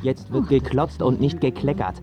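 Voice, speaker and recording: A man's voice: medium pitch, lively intonation, moderate pace, dark tone, soft background music, close to an everyday voice. An actor in a radio drama playing a brash, vain male pop singer who boasts in slang and platitudes. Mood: boastful.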